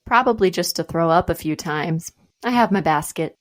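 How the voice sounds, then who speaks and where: A woman's voice: fairly low pitch, animated, moderate pace, close to an everyday voice. An actress playing a nervous, self-mocking young woman who feels queasy, in a radio play. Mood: anxious. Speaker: actor.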